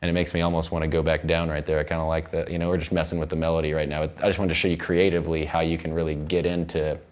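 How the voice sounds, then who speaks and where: Low-voiced man giving an informal tutorial. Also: flat delivery, rapid-fire, a little mellow. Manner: loose, rambling, thinking aloud while working. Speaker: music production instructor. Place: indoor recording studio.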